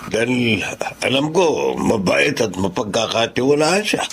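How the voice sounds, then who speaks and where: A man's voice: mid-range voice, big pitch swings, unhurried, vocal fry, husky, exaggerated voice. A man acting a polite, earnest, friendly neighbour in a Filipino radio drama. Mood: polite, regretful. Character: polite, friendly male neighbour, jeepney owner seeking driver.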